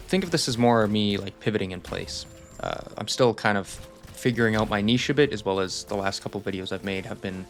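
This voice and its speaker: A man's voice: fairly low pitch, gravelly. A young man giving a casual, rambling channel update, self-deprecating and reassuring. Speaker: channel host.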